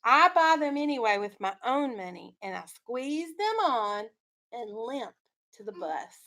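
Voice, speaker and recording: A woman's voice: fairly high pitch, very animated, a little slow, sharp tone. A woman teacher reading a story aloud to children, in an instructive way with leading questions.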